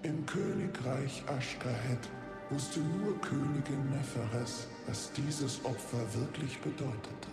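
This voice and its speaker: Fantasy game lore narrator: solemn, cryptic, unhurried, echoing over loud music. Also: male voice, medium pitch. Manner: solemn, deliberate. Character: solemn, cryptic male lore-teller of an ancient kingdom.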